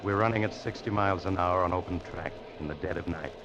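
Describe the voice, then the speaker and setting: Male actor as suave macabre storyteller, very low voice, ominous measured delivery, old radio drama, muffled band. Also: lightly acted. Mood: dramatic. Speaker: host-narrator of radio mystery. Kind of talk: 1940s radio drama narration. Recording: muffled, noisy old radio broadcast with music.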